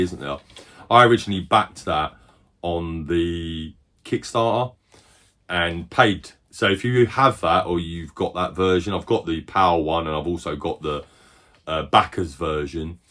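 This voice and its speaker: A middle-aged man casually showing off his game collection, rambling and correcting himself as he goes, a little hoarse. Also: sits low, a bit bright. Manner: rambling, chatty, self-correcting. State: losing his voice. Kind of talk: casual collection show-and-tell.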